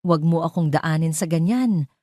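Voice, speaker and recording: A woman's voice: sits low, normal speed, warm tone, no room sound, studio quality. A woman voicing a cold, imperious old wealthy matriarch in an audio drama, threatening and impatient.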